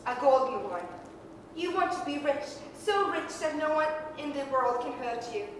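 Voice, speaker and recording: A woman acting a young sweetheart, gentle but principled, with a high voice. She speaks in old radio drama style, heard from afar in a big echoing hall with faint noise. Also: animated, moderate pace. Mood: sorrowful, firm. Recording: echoey hall, distant mic, faint rumble.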